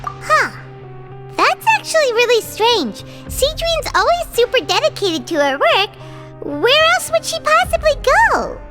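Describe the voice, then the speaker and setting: An extremely high, squeaky little fairy companion in a video game. She is childlike, food-loving and excitable, exclaiming in lively swoops. Loud music plays behind her. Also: a little slow, heavily acted. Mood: inquisitive. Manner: speaks of herself in the third person, exclaiming. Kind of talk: video game character dialogue.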